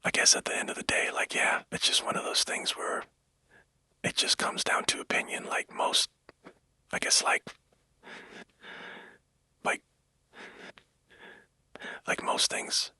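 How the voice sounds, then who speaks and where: Male whisperer for an unscripted monologue: breathy, hissy-bright, hesitant and casual, recorded very dry. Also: measured pace, long pauses, no room sound. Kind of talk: whispered rambling monologue, like soft-spoken ASMR.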